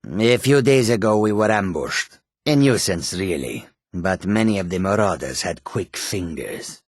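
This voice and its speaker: Deep, husky, self-assured catfolk adventurer. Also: male voice, expressive, measured pace, no echo, studio quality, full character voice. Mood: calm, courteous. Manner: self-assured, formal, speaks of himself in third person. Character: proud, courteous male catfolk mage and warrior. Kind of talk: video game character dialogue.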